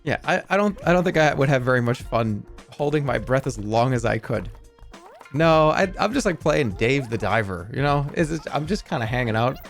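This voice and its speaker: A man's voice: mid-range voice, animated, normal speed, gravelly, faint music behind. A man in his thirties streaming a game, chatting casually in a rambling, sarcastic way and swearing freely.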